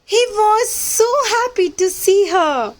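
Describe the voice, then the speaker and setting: A woman reading a picture book aloud to young children, with simple deliberate phrasing and questions to the listeners. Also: extremely high pitch, expressive. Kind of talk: children's read-aloud storytelling.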